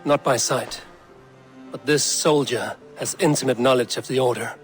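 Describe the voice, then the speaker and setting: A man playing a stoic Viking clan leader in a video game, grave, measured and plain-spoken. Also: medium pitch, soft background music, lightly acted. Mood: businesslike. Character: stoic Viking clan leader, male warrior, steady and resolute.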